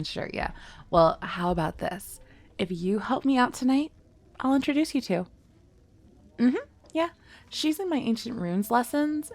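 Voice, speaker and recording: A woman playing an excitable, bookish, friendly young witch student in a roleplay, chatty and earnest, speaking straight to the listener. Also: mid-range voice. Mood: teasing. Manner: chatty, rambling, giggly, earnest. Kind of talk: scripted one-sided roleplay addressed to the listener.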